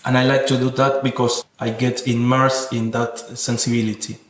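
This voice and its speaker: A man's voice: medium pitch, level tone, moderate pace. Man speaking evenly in an echoey room. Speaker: interviewed sound artist. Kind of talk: reflective interview about own work.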